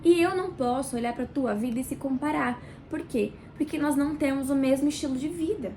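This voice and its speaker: Congested Brazilian woman, high-pitched. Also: slight background noise. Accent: Brazilian Portuguese. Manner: warm, chatty, addresses viewer directly with pauses. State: nasal and congested from a cold. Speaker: book club host. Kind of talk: casual devotional reading vlog. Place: small home kitchen.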